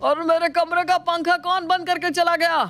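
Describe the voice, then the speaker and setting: A man's voice: extremely high pitch, flat delivery, normal speed, pushed and bright, full character voice. A grumbling older Indian father-in-law from a cartoon family tale. He is thrifty and easily exasperated, and scolds in exclamations. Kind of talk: Hindi animated moral story acting.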